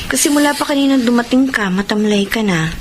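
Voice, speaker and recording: A woman's voice: fairly high pitch, fast, very noisy, close to an everyday voice. A woman acting in a Filipino radio drama as an ambitious young married woman who turns defensive and pleading. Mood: worried, probing. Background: dramatic music.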